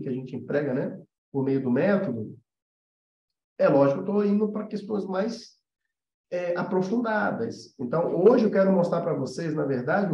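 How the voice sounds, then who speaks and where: A man's voice: sits high, dark tone. A man with a Brazilian Portuguese accent teaching an informal livestream lesson in a conversational, explanatory way, often checking in with his listeners. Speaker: animation teacher and mentor. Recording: roomy echo, dull muffled sound.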